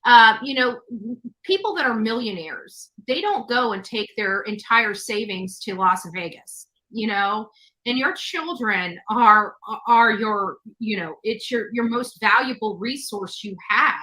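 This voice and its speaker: A woman's voice: fairly high pitch, animated, measured pace, bright, forceful tone, low-quality recording. A woman hosting a talk show, speaking conversationally in an emphatic, advising way to a guest and audience.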